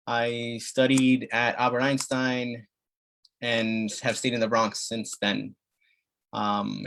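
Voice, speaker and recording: Halting bilingual family doctor. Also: male voice, on the low side, a bit bright. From Dominican Republic. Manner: halting, many fillers and restarts. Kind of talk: community health talk, bilingual.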